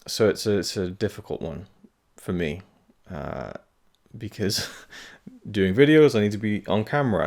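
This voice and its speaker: A man hosting his own channel, giving a casual update to camera in a hesitant, rambling way, talking carefully around tooth pain. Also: deep voice. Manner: rambling, hesitant, many fillers and restarts. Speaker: film review channel host.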